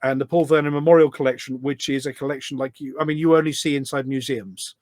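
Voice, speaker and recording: A man's voice: mid-range voice, fairly even intonation, fast, a little mellow, dry recording, clean studio sound. A middle-aged man talking casually and at length as a podcast guest, explaining things in an anecdotal way. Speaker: podcast guest, volunteer event organiser. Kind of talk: casual conversational interview.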